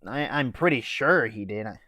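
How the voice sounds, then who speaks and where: A man's voice: medium pitch, lively intonation, normal speed, pushed and bright, no room sound. Teen guy rambling thru an anime recap, um and uh a lot, mid voice, dead dry mic. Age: teens. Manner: offhand, hesitant, fillers and swearing. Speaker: anime reviewer. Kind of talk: casual recap with jokes.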